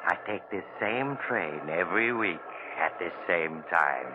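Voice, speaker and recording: An American actor playing a genially sinister traveling storyteller, confiding and insinuating in 1940s radio style. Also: male voice, deep voice, animated, unhurried. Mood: sly, confiding. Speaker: host of a mystery drama. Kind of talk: 1940s radio acting, addressing listeners. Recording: muffled, noisy vintage radio broadcast.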